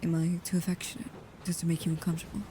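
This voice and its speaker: A woman playing a devoted, anxious, shyly affectionate vampire bodyguard in an intimate ASMR roleplay, speaking softly and haltingly, often near a whisper. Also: fairly low pitch, monotone, close to an everyday voice. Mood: anxious, apologetic. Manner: hesitant, halting, soft-spoken, often dropping to a whisper. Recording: clearly audible background ambience noise.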